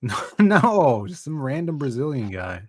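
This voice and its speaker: A man's voice: medium pitch, big pitch swings, a little slow, no echo, studio quality. Wry male comics podcast co-host. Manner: wry, teasing, shows clips on screen. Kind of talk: casual comics chat.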